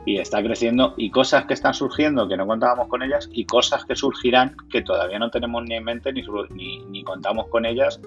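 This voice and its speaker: A man's voice: mid-range voice, fairly quick, hardly any pauses, highs cut off, faint music behind. A man from Spain with a Castilian accent, answering interview questions conversationally, sometimes repeating words as he searches for phrasing. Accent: Castilian Spanish. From Madrid, Spain. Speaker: interviewee, board game community organizer.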